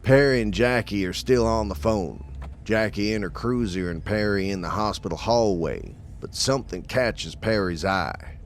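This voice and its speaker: A man performing as a matter-of-fact narrator of an audio drama, reading in a measured way. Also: lowish voice, a little hiss or hum, close to an everyday voice. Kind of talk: scripted narration.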